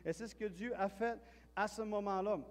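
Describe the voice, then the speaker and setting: A pastor with a Quebec French accent preaching a chatty sermon, telling stories and often restarting and repeating words. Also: male voice, high-pitched, steady tone, moderate pace. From Quebec, Canada. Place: church hall. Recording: long hall reverb.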